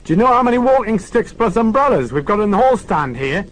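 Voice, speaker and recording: A man's voice: on the high side, wildly swooping pitch, moderate pace, a little hiss or hum, pitched above the performer's own voice. A man reading an audiobook, voicing an earnest, put-upon police sergeant who talks plainly and gets exasperated. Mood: amazed.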